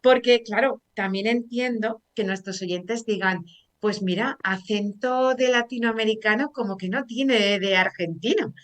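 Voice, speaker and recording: Una mujer con acento de España presentando en directo un programa de radio, charlando con soltura y muchas muletillas. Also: female voice, medium pitch, a little slow. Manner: chatty and warm, frequent fillers like "eh" and "pues bueno". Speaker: radio show host. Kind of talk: live radio talk show hosting.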